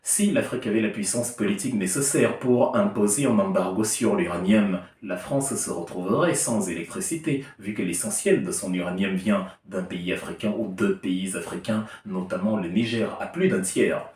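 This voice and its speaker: A man's voice: on the low side, normal speed, few pauses, a little mellow. A man giving a heated political opinion monologue, emphatic and argumentative with an ironic edge. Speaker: political commentator. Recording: echoey room, distant mic.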